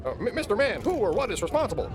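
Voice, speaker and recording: An actor playing a nervous, eager reporter who presses with questions in a satirical audio play. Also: male voice, sits high, wildly swooping pitch, racing, background noise.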